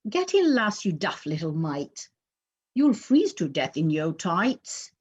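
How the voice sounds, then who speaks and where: A woman putting on a scolding, motherly woman inside a poem she reads aloud, brisk and teasing. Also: fairly low pitch, sing-song, husky, dull audio, exaggerated voice.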